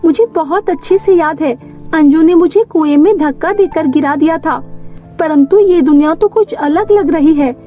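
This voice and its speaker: A naive, sweet young cartoon heroine acted by a woman narrator with a very high, mellow voice. She speaks emphatically and openly, as in a children's fairy tale, over faint music. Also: moderate pace, lightly acted. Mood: bewildered. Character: sweet, trusting, easily frightened young woman. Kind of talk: Hindi animated fairy tale voice acting.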